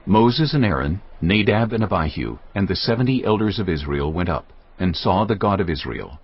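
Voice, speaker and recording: A male narrator reads scripture in a deep, measured voice. His delivery rises and falls expressively. Music sits behind the slightly dull recording. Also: expressive. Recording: dull studio sound over clear music.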